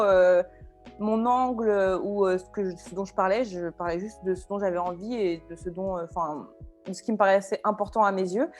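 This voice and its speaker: A woman in her twenties from France, talking casually as an interview guest, often pausing with fillers and restarts. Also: mid-range voice, a little mellow. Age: 20s. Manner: hesitant, many fillers and restarts.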